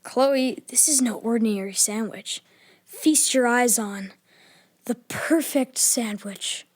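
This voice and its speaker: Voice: medium pitch, takes it slowly. An actor voicing a cheeky, impulsive boy who loves food, with a playful, theatrical way of talking in a children's audio drama. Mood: playful, teasing.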